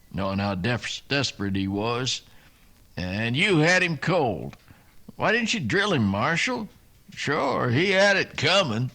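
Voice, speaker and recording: A man's voice: mid-range voice, pushed and bright, exaggerated voice. A man playing a plain-spoken, wry Texas lawman sergeant in a western radio drama, with a folksy drawl. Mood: probing.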